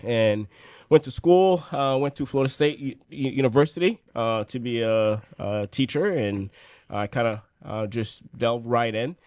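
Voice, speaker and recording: A man in his thirties from Haiti, a podcast guest telling his life story in a relaxed, joking way with many fillers. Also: mid-range voice, moderate pace, clear, resonant tone, dry recording, clean studio sound. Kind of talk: casual storytelling.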